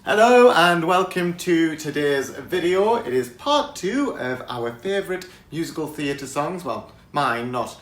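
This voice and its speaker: Chatty man with a croaky voice. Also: on the high side, lively intonation, measured pace, few pauses, reverberant. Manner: chatty and enthusiastic, laughs often. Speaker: vlogger. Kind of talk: casual chat to camera. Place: outdoors on a windy day.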